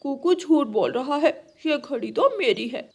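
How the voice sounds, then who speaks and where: A woman's voice: high-pitched, lively intonation, unhurried. A woman voicing a cocky, whiny, childlike young boy crow in a children's cartoon. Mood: defiant.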